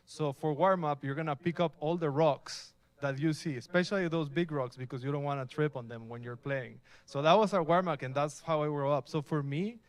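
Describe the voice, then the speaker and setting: A young man from Mexico speaks at a live tech meetup in a casual, upbeat way and keeps pulling the audience in with questions. His voice sits a little higher than most men's. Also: a little fast, hardly any pauses. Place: event space with a live audience.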